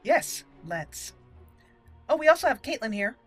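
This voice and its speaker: A woman's voice: on the high side, very animated, a little slow. A woman hosting a gaming channel, giving roleplay commentary and musing aloud about the story. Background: game music.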